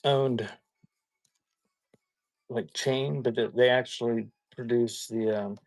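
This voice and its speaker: Man, live auction seller, casual rambling small talk, folksy, halting delivery, mid-range pitch, dry close sound. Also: fairly even intonation, darkish tone, dry recording. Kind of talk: casual rambling chat while showing items for sale.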